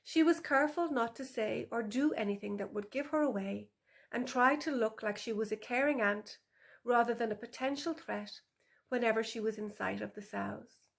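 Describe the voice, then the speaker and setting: A woman's voice: on the high side, moderate pace, creaky. A woman author reading her animal story for older children as scripted narration.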